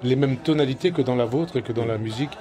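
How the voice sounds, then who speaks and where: A man's voice: medium pitch, quick pace, a loud crowd. A man hosting a television panel discussion, guiding the guests with questions. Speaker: host, moderator.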